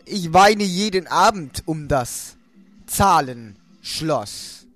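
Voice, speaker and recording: A man's voice: a little high, animated, very slow, fairly bright tone, dry recording. A man chatting casually and playfully as he hosts a let's play. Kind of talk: casual joking chat while gaming. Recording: music playing over the voice.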